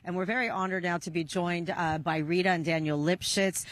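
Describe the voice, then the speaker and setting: A woman conducting a live news interview on location outdoors, with halting, hesitant phrasing. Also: lowish voice, fairly even intonation, no room sound. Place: outdoors near a rural kibbutz. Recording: noisy outdoor field recording.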